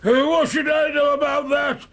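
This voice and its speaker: An actor playing a bitter, frightened, deformed outcast man in a radio drama, agitated, with a strained, creaky voice. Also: extremely high pitch, level tone, fairly bright tone, exaggerated voice. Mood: frightened, hostile. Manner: agitated, halting outbursts.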